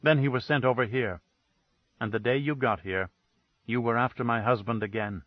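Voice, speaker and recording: A man reading the lines of a grieving, bitter woman in a dead, flat tone, pitched lower than his narration. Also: moderate pace, dry recording, muffled recording, close to an everyday voice. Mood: cold accusing.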